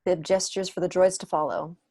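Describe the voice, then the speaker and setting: A young woman narrates a screenplay from home on a video call. Her voice sits fairly low, and the sound is dry. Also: fairly even intonation, fairly quick, warm tone, no echo. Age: young adult. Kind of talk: scripted narration, reading stage directions.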